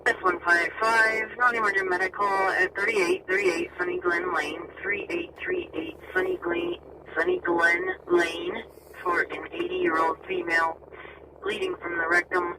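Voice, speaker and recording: A man's voice: high-pitched, measured pace. A man giving matter-of-fact, procedural emergency dispatch calls over a police scanner radio. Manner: matter-of-fact, repeats addresses digit by digit. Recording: distant scanner radio, loud noise. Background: loud vehicle and ambient noise.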